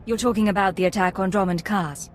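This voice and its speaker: Female Imperial spy voiced by an actress, medium pitch, controlled, questioning, terse game dialogue. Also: steady tone, quick pace, slight background noise, natural voice. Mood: cool, probing. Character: cool, probing female Imperial secret agent. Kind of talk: video game dialogue acting.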